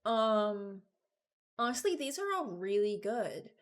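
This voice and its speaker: A woman's voice: medium pitch, big pitch swings, slow pace. A woman giving casual, unscripted commentary as a video creator, hesitant and thinking aloud. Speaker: video creator ranking game voice lines.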